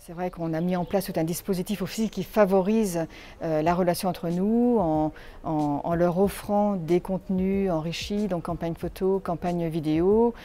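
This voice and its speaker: A woman's voice: on the low side, moderate pace, dark tone, faint music behind. A woman giving explanatory interview answers as a tourist office representative, searching for her words as she goes.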